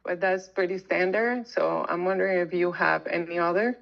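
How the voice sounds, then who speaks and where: A woman's voice: on the low side, fairly even intonation, normal speed, gravelly. Woman, from Ecuador, eager caller, friendly, muffled lo-fi call sound. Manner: friendly, eager newcomer with restarts. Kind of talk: casual question on a call. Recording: dull lo-fi call audio.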